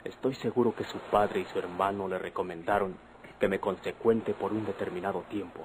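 A man's voice: sits low, fairly even intonation, a little fast, background noise, close to an everyday voice. An actor playing a proud, wounded young Mexican man, solemn and declamatory, in an old radio drama. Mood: dejected.

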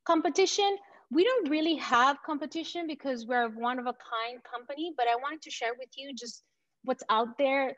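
A confident woman from Venezuela pitches her company with promotional enthusiasm. Her voice sits a little high and reaches the listener dry and slightly dulled, like audio from a computer on a video call. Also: moderate pace, a bit bright, no room sound, dull audio. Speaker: company founder pitching to investors. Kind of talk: startup pitch presentation.

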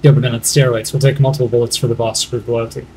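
Male gamer doing casual commentary, soft dark tone, clipped muttering, slight background noise. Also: medium pitch, little pitch movement, fast, poor audio. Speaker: gamer playing through a campaign.

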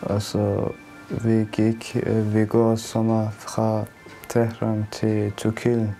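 A young man from Afghanistan telling his story haltingly in plain phrases. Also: lowish voice, completely flat delivery, vocal fry, mellow, faint music behind. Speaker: young refugee interviewee.

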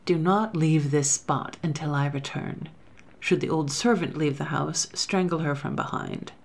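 A woman reading the part of a cold, sneering male robber who plots a murder in a soft, contemptuous voice. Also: low-pitched, measured pace, creaky, full character voice.

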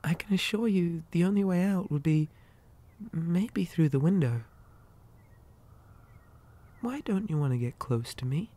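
Man voicing a slow, breathy, creepy doll boy. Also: fairly high pitch, animated. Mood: playfully menacing. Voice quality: soft, breathy, often dropping to a whisper. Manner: gentle, unsettlingly polite, lilting childlike address. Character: creepy, possessive living doll boy, lonely and falsely sweet. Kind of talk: ASMR roleplay.

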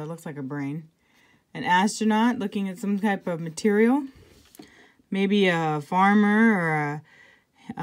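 A woman reading a picture book aloud to children, conversationally, pausing for casual asides and check-in questions. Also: on the low side, darkish tone. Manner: conversational, pointing things out and asking check-in questions. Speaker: intervention specialist reading to children.

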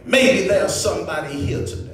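A man's voice: on the high side, lively intonation, soft background music. A man preaching a sermon in an impassioned, oratorical way, using rhetorical repetition and addressing his congregation. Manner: impassioned, oratorical, builds from measured phrases to shouts. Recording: echoey hall, distant microphone.

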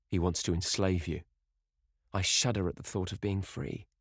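A man's voice: deep voice, steady tone, moderate pace, slightly rough, no room sound, studio quality. A man voicing a sullen, hot-tempered sixteen-year-old lad, blunt and protective, as an audiobook character. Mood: sullen, resentful.

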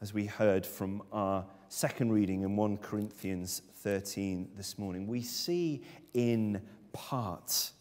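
A man's voice: on the low side, takes it slowly, a little mellow. A man in his thirties preaching a sermon at a lectern in a church, earnest and emphatic. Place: church sanctuary, speaking at a lectern. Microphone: far camera. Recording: long church reverb.

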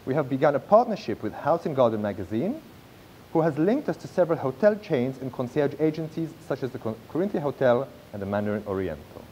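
Man reading a business pitch on stage. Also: very animated, fast talker, darkish tone, a little hiss or hum, poor audio. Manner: reads from a script, some slips and restarts. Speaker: presenter pitching a project. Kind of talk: read-aloud business pitch. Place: large theatre stage.

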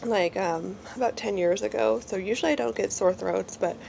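A woman's voice: mid-range voice, moderate pace, creaky, darkish tone, a little hiss or hum. A woman in her forties with a congested, slightly nasal voice from a cold, chatting casually and rambling through a beauty product review at home. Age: 40s. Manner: chatty, rambling, lots of fillers. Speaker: beauty vlogger. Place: small indoor room at home.

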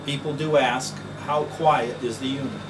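An older man pitching his own product in a plain, folksy way with frequent "uh"s, demonstrating it indoors. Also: steady tone, normal speed. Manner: plain folksy sales talk with filler "uh"s. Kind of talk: promotional demonstration. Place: office, then a basement demo wall indoors. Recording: distant mic with audible fan hum.

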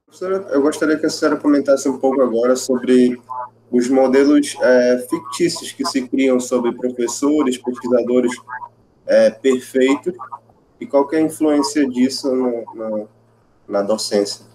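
Dark-voiced young man asking a question. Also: mid-range voice, unhurried, a little hiss or hum, rough recording. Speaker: interviewer. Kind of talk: interview question.

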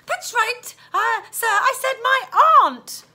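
A woman acts out an anxious young girl from a children's storybook. Her voice is high and bright, and she pleads politely, halting and earnest as she goes. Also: animated, full character voice. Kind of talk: children's storybook read-aloud.

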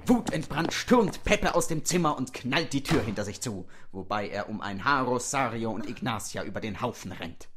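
Casting: male narrator for audio drama, medium pitch, scripted storytelling. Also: expressive, normal speed, few pauses, music in the background.

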